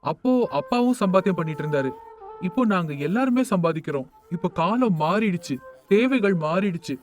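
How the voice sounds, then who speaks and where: A man's voice: high voice, expressive, fairly quick. A man playing a practical, discontented younger brother who speaks in a matter-of-fact, complaining way in a family story. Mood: insistent. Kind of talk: dramatised moral story. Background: faint music.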